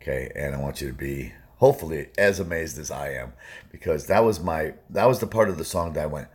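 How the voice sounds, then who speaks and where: A man with an extremely deep baritone hosts a music reaction video. He talks in a loose, rambling way, full of fillers. He keeps stressing his points with emphasis. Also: animated, brisk. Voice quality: low baritone voice. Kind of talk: casual reaction commentary.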